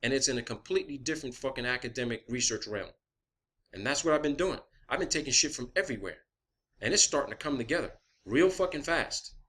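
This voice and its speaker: A man's voice: medium pitch, expressive, fast talker, a bit bright. A man speaking as an online video creator in an impassioned, profane rant, combative and emphatic, repeating phrases to drive points home.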